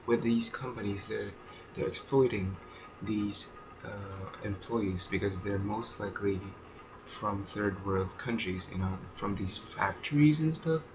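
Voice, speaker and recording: A man's voice: lowish voice, background noise. Rambling young man, fillers and restarts. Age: 20s. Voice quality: halting, many fillers and restarts, swears casually. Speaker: vlogger. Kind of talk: casual unscripted video journal.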